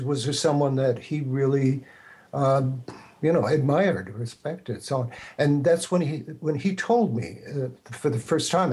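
An older man with a husky, slightly rough voice shares stories as a guest. He speaks casually and haltingly, circling back as he goes. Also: mid-range voice, darkish tone. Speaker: podcast guest, radio producer. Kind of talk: casual storytelling.

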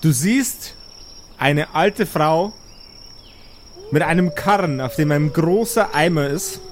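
A man's voice: a little high, big pitch swings, slow pace, a little hiss or hum. A man narrating an improvised tabletop game as game master, joking and searching for words as he goes.

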